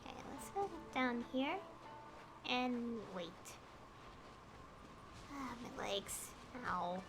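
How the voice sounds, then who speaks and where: A woman's voice: fairly high pitch, animated, drawn-out words, rough recording. A woman streaming a game, giving casual, informal commentary as she thinks aloud. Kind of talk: casual livestream gameplay commentary. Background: game music.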